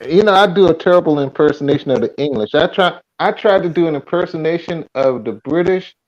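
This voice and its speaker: Man, folksy live-stream host, fairly high pitch, relaxed and chatty. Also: fast talker, muffled recording. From Virginia, USA. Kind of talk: casual chat.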